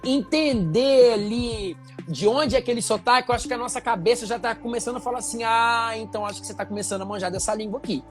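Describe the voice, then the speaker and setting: A man from Minas Gerais, Brazil, hosting a casual chat in a lively, rambling way with many fillers. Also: extremely high pitch, fast, faint music behind. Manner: chatty, animated, many fillers. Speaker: show host. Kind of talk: casual conversation.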